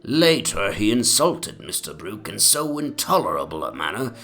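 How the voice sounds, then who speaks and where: A man playing a pompous, very old eighteenth-century gentleman in a dramatic reading of his mock memoir, with mannered, antiquated diction. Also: fairly low pitch, very animated, normal speed. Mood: pompous, gossipy. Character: pompous, ancient 18th-century English gentleman memoirist, fond and gossipy.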